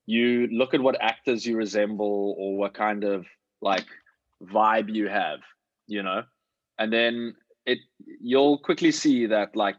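A man chatting casually as an actor giving tips, rambling and halting as he thinks aloud. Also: on the low side, dry recording, highs cut off. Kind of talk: casual chat.

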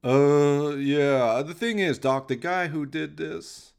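Man doing a flustered police detective, raised pitch. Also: steady tone, takes it slowly, gravelly, smooth. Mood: sheepish, baffled. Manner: hesitant, colloquial, sweary. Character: agitated, baffled male American-style police detective. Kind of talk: radio play character acting.

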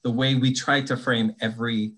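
A man of ordinary middle pitch speaks as a podcast guest. He explains at length in a thoughtful, conversational way, often restarting his sentences as he works out his point. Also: flat delivery, moderate pace, fairly bright tone. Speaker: guest, anti-bias trainer.

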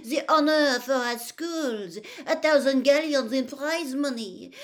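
A woman's voice: high voice, slow pace, pitched above the performer's own voice. A woman voicing a proud, indignant teenage schoolgirl in a storybook reading. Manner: bursting out, indignant.

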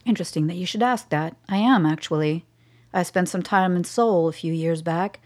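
Cool, precise scientist-advisor, played by a woman, a little low-pitched. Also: moderate pace, darkish tone, lightly acted. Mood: composed. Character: composed, defiant female scientist advisor. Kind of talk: radio drama acting.

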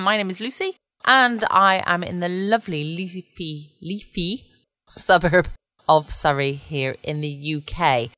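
A woman's voice: low-pitched, a bit bright, no room sound. A woman chatting casually and ramblingly as the host of a late-night podcast.

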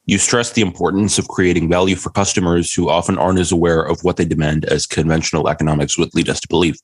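A man's voice: low-pitched, level tone, fast talker, dry recording. A man hosting an economics podcast, reading his introduction and questions from a script. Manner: reads prepared questions smoothly. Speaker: podcast host.

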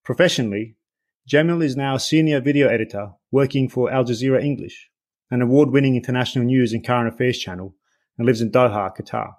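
A man from Bosnia and Herzegovina, a native Bosnian speaker, hosting a podcast interview; he reads a measured introduction and then speaks with many hesitations. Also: medium pitch, darkish tone. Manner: measured, hesitant with many fillers when unscripted. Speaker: podcast host. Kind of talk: scripted guest introduction and interview.

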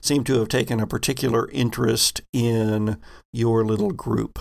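A man narrating a tabletop sci-fi game as game master, descriptive and sometimes halting as he thinks aloud. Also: medium pitch, steady tone, creaky.

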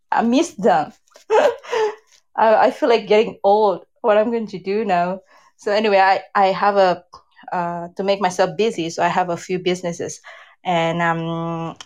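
A woman in her fifties from Indonesia with an Indonesian accent, chatting candidly as an interview guest, her English loose in grammar. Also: lowish voice. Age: 50s. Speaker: guest, relationship advice creator. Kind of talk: casual interview chat.

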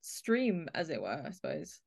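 A woman's voice: low-pitched, sing-song, measured pace, creaky, darkish tone. A woman hosting a podcast as a casual, chatty interview, speaking effusively to the guest. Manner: effusive, full of praise for the guest.

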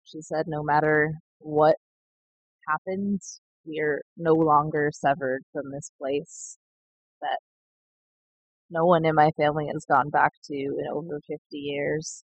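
A young woman sharing a slow, heartfelt personal reflection in a recorded message. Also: low voice, steady tone, husky, no room sound, rough recording. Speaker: seed collective member.

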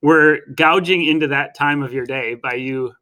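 A man's voice: on the high side, moderate pace, sharp tone. A man co-hosting a podcast, asking questions in a joking, teasing way. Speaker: podcast co-host. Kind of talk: casual podcast interview.

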